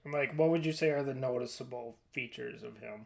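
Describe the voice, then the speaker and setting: A man's voice: medium pitch, a little fast, creaky, a little mellow. A man co-hosting a podcast in casual chat, informal and often thinking aloud as he goes. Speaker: podcast co-host. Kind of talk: casual chat about movie casting.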